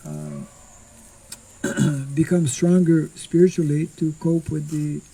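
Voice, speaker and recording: Slow, gravelly older man teaching outdoors. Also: a little high, steady tone, dark tone, low-quality recording. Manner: slow, halting, clears throat often. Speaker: monk teaching scripture. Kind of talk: informal scripture class. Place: outdoors on a farm clearing. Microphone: stand mic in front.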